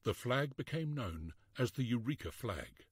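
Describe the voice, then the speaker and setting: Expressive male storybook narrator, slightly husky. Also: mid-range voice, unhurried, dry recording, studio quality. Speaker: narrator reading a children's history book.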